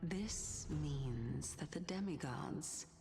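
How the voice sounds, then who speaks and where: An actress playing a cool, composed woman in a scripted video game, speaking in a breathy, unhurried way. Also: sits low, animated, heavily acted. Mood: intrigued. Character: cool, composed woman calmly probing a bold claim. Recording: long reverb, faint music behind.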